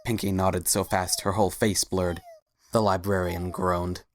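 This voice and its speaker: Very deep-voiced woman narrating a story. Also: little pitch movement, measured pace, a little hiss or hum. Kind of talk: scripted story narration in a dramatic reading.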